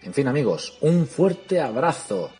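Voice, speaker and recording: A man, hosting a podcast and recommending a film in a lively, ironic way. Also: medium pitch, unhurried, soft background music. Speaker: podcast host.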